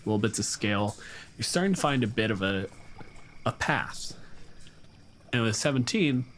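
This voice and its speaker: Male game master, lowish voice, casual improvised storytelling and asides, clear noise behind him. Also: lively intonation, moderate pace, a bit bright. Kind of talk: improvised narration of a tabletop game.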